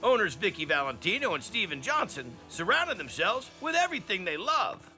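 A man's voice: high-pitched, big pitch swings, brisk, pushed and bright, soft background music. A man in his fifties hosting a food TV show, upbeat and slangy, joking as he cooks in a restaurant kitchen.

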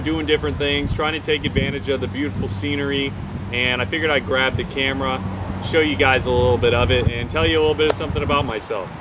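A young man in his twenties talking casually to a handheld camera outdoors, easygoing and rambling with plenty of fillers. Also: medium pitch. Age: 20s. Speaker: fitness vlogger. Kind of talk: casual vlog talk to camera. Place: outdoors by a river and on a lawn. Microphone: handheld camera at arm's length. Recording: outdoor noise behind the voice.

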